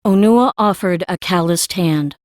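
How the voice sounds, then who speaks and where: A woman's voice: fairly low pitch, a little slow, darkish tone, no room sound, clean studio sound. A woman reading scripted story narration for an audiobook.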